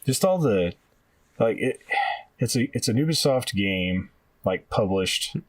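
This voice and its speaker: Deep male co-host, rambling and casual, sitting a bit back from a dry mic. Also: lively intonation, measured pace, dry recording, distant mic. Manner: rambling, many fillers and trailing phrases. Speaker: podcast co-host. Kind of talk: casual chat.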